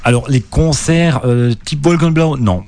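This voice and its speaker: A man's voice: medium pitch, a little slow, a little mellow. A middle-aged man from Alsace with an Alsatian accent, a guest singer answering questions in a radio interview, hesitant and full of restarts.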